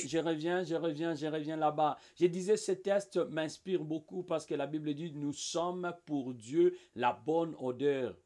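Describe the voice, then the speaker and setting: Higher-pitched man discussing scripture. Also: darkish tone. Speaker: co-host of a religious programme. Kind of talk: Bible discussion.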